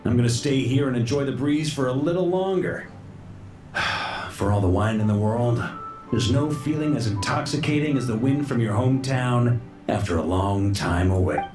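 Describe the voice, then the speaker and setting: An actor playing an easygoing, middle-aged knight commander in a video game, warm, wry and dignified. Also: male voice, mid-range voice, expressive, normal speed, roomy sound, natural voice. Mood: contented. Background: loud game music.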